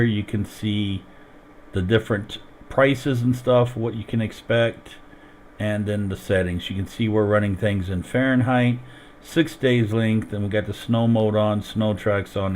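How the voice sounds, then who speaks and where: A man's voice: on the low side, steady tone, measured pace, a little mellow, slight background noise. A man streaming a farming game while sick and losing his voice, explaining each step as he plays into a headset. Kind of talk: casual gameplay commentary.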